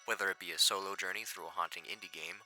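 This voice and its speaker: A man's voice: low-pitched, steady tone, fast, low-quality recording. A man hosting and narrating scripted horror commentary in a theatrical presenter style with wry asides. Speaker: video host and narrator. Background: background music.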